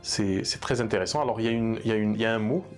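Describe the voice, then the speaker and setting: Low-voiced man giving hesitant, earnest advice. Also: rapid-fire, soft background music. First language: bilingual in Arabic. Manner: hesitant, many fillers and restarts, earnest. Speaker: coach for therapy practitioners. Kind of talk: unscripted advice talk to camera.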